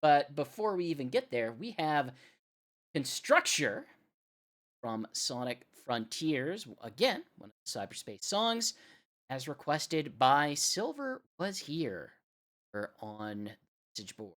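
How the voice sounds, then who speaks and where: A radio show host giving casual live-stream commentary while playing a rhythm game, in a rambling, halting, self-deprecating way. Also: mid-range voice, very animated, a bit bright.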